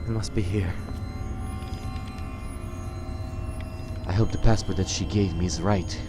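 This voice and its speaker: A man's voice: sits low, fairly quick, over music. A man roleplaying an earnest male wasteland adventurer in a video game, with a hesitant, theatrical delivery. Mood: muttering. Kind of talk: improvised in-character game roleplay.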